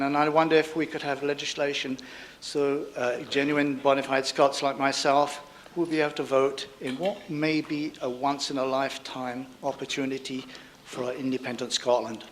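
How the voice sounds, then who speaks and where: Audience man, higher pitch, earnest and pressing; faint room noise behind. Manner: earnest, grows louder and insistent. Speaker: audience member asking a question. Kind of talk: question from the floor.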